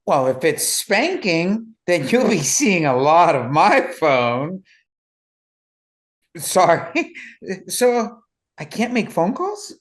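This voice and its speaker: A woman acts a blunt, cheeky, curious visitor in an audio play. The delivery is slow, mid-pitched and extremely animated in its rises and falls.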